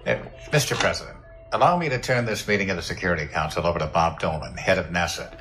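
A man playing a gruff, dutiful old navy admiral in a science fiction audio drama, formal and clipped. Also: sits low, fast, music in the background, lightly acted. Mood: uneasy, dutiful.

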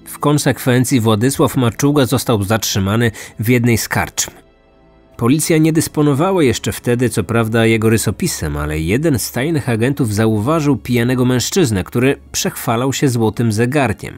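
A man's voice: medium pitch, expressive, moderate pace, darkish tone. A man narrating a scripted true-crime podcast as its host. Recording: music under the voice. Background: background music.

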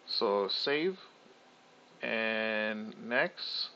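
A young man talks slowly through a screen-recorded software tutorial, thinking aloud and restarting his sentences. His voice is gravelly and bright with a lowish pitch. The audio is slightly dull and lo-fi, as from a desktop mic. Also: lively intonation, a little hiss or hum. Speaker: tutorial host.

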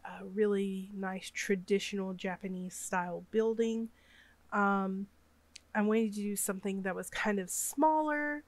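A woman with a Southern US accent narrates her home build in a loose, rambling way, full of ums and uhs. She is sick, and her voice sounds sniffly and congested. The sound is close and dry, with no room echo. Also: medium pitch. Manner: rambling, chatty, many fillers. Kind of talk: casual gameplay voice-over.

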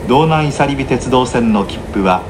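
A man giving formal, measured, scripted onboard announcements as a train announcer, polite and formulaic. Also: mid-range voice, animated. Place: inside a train, over the announcement system. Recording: noisy train cabin, air-conditioning hum.

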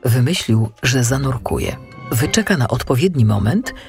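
A man's voice: medium pitch, animated, moderate pace, darkish tone, soft background music. A man narrating a scripted children's audiobook story.

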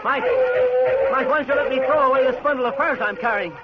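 An actor playing a decent, worried traveler in a 1940s radio drama, pleading urgently and earnestly. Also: male voice, very high voice, fast talker, loud music behind the voice. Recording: dull old radio broadcast with hiss.

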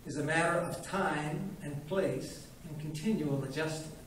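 A grandiloquent old male quack, put on by a man reading aloud, holds forth with lofty, pompous dignity. The voice sits a little high and rings out through a very echoey room. It is heard from a distance with faint noise underneath. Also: unhurried, fairly bright tone. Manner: haughty, grandiloquent.

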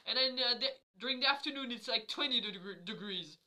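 A young woman with a cold talks straight into her webcam from a small bedroom. Her voice is bright and forceful, and she rambles loosely, filling gaps with "like" and laughing often. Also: on the high side, animated, fairly quick, poor audio. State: has a cold. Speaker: vlogger. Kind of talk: casual chat to camera. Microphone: webcam at desk.

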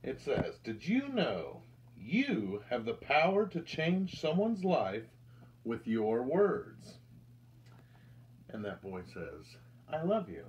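An American man in his thirties reads a picture book aloud to children with deliberate, expressive storytelling delivery. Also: mid-range voice, a little hiss or hum. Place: indoors, small room with curtain backdrop.

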